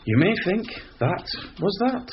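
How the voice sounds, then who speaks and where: An actor playing an exasperated police sergeant, narrating a radio drama in a wry, dry manner. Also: male voice, high voice, big pitch swings, a little slow, dull audio, slight background noise. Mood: wry, knowing.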